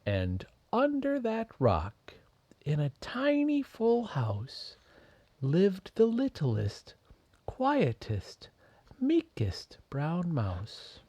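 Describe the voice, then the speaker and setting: A man reading a children's bedtime storybook expressively, with dramatic, drawn-out phrasing and hushed dips. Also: high voice, wildly swooping pitch.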